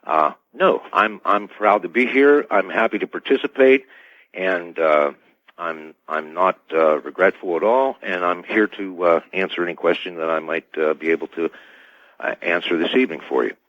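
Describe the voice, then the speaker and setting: A man with a very deep voice on a dry, slightly muffled radio line. He is an interview guest who answers formally, choosing his words with care. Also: no room sound. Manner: formal, careful, measured. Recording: dull band-limited radio call audio.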